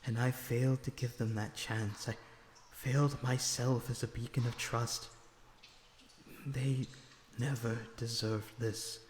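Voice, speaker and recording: Guy doing a sad gentle vampire prisoner, halting n earnest, middle pitched voice, big dungeon reverb, audio rp. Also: little pitch movement, close to an everyday voice. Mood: sorrowful, remorseful. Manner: earnest, pleading, halting, emotional delivery. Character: lonesome, remorseful, gentle male vampire prisoner. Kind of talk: dramatic audio roleplay acting. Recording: echoey reverberant dungeon-like sound, background noise.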